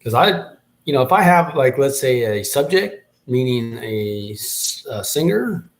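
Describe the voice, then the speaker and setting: Guy rambling thru a casual update on his own show, mid-pitch, thinking out loud as he goes. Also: lively intonation, unhurried. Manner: rambling, thinking aloud with restarts. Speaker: podcast host and rap artist.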